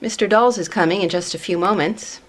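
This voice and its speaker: A woman's voice: medium pitch, a little fast, close to an everyday voice. A woman playing a matter-of-fact office secretary with dry candour in a radio sitcom. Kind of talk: sitcom-style radio play dialogue. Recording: clear background noise.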